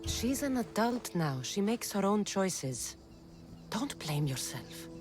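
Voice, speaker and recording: A woman's voice: mid-range voice, sing-song, slow pace, over music. An actress playing a confident, pragmatic young female mercenary in a video game, speaking directly and tersely. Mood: steady, reassuring. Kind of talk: video game cutscene acting.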